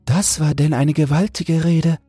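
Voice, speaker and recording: Man putting on a high, dreamy little toad. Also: steady tone, unhurried, warm tone, husky, no room sound, soft background music. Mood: awed. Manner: exclamatory, full of wonder and yearning. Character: little female toad, naive, longing, dreamy. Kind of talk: storybook character voice.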